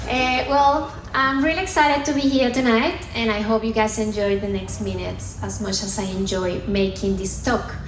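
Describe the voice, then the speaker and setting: Woman, Colombian accent, slightly high voice, playful teasing stage talk, echoey hall, faint ambience. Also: steady tone, normal speed, hardly any pauses, a little hiss or hum. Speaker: sustainability manager giving a comedy science talk. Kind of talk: stand-up style talk on stage. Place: theatre stage at a comedy festival.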